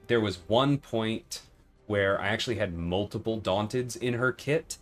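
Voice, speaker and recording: A man with a fairly low voice hosts a casual livestream. He explains things conversationally, often tagging points with "right?" to check that listeners follow. Faint music plays behind him. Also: fairly bright tone. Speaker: livestream host and game developer.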